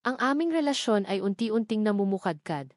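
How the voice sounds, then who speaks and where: A Filipino woman tells a romantic drama in the first person. Her voice sits at a medium pitch, and she delivers it sentimentally in measured phrases. The sound is dry, with almost no room in it. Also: lively intonation, no echo. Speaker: story narrator.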